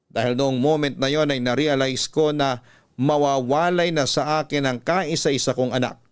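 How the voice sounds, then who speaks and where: A man reading a scripted emotional story in a measured, emotive way, like a radio storyteller. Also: medium pitch, steady tone, clear, resonant tone. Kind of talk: dramatic scripted story narration.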